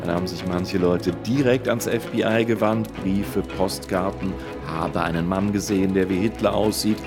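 Male radio researcher-host, low voice, dry and conversational, clear music bed. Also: fairly even intonation, moderate pace. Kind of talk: casual, conversational documentary narration.

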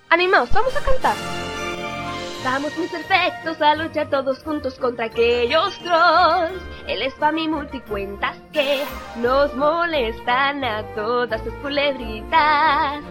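A woman doing a high, bubbly singing prefect. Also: animated, slow, over music. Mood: cheerful. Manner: bubbly, bursts into song. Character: cheery singing female prefect, relentlessly upbeat.

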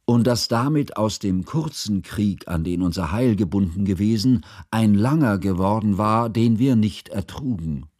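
A man acting in a radio drama as a grave, reflective narrator recalling a war in formal, measured literary language. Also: lowish voice, expressive, a little mellow, no room sound, studio quality. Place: studio.

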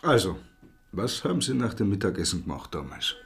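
An actor in a radio drama playing a sceptical, persistent police interrogator with an Austrian accent and a dry, measured, probing manner. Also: male voice, on the low side, huge pitch swings, creaky, fairly bright tone, soft background music, full character voice. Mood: probing, insistent. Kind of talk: naturalistic radio drama acting.

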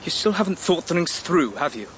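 An actor in a radio drama playing a bitter, mocking adopted son who talks in a blunt, sardonic, goading way. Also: male voice, a little high, big pitch swings, moderate pace, a little hiss or hum. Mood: bitter, challenging. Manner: sardonic, colloquial, challenging.

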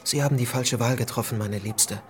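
Actor as earnest disillusioned politician, mid-pitched. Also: male voice, brisk, natural voice. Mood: bitter, measured. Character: disillusioned, earnest politician, gently courteous lover. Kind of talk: radio play dialogue.

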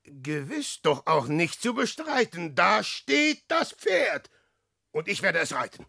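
An actor playing a boastful, lecherous adventurer posing as a prophet in a verse radio play, declaiming theatrically between musing and crowing. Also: male voice, a little high, very animated, normal speed, vocal fry, bright, forceful tone, husky, full character voice. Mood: excited, grasping.

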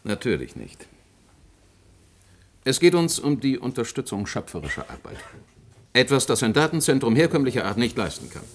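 An actor playing a self-assured president of a research project in a German science fiction radio play, explaining things articulately. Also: male voice, mid-range voice, very animated, normal speed, long pauses, a little hiss or hum.

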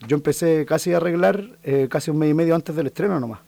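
A man's voice: on the high side, fast, gravelly, warm tone, no room sound. A Chilean man in his thirties, a folk singer talking in a casual interview, hesitant and full of restarts. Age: 30s. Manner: hesitant, many restarts and fillers.

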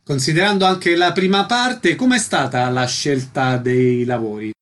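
A man's voice: on the high side, a little slow, a bit bright. A man hosting a radio programme, presenting details and putting questions to guests. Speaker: radio host, interviewer.

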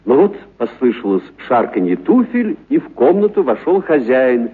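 A man narrating a literary story for radio in a dramatic, expressive storytelling manner. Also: mid-range voice, moderate pace, husky. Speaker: narrator, actor reading a story. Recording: narrow, muffled radio-broadcast sound.

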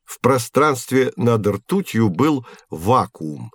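An actor playing a patient professor who explains science to children didactically in an educational audio drama. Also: male voice, medium pitch, sing-song, slow, breathy edge, no echo, full character voice. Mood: explanatory. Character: patient male professor teaching children with hands-on experiments.

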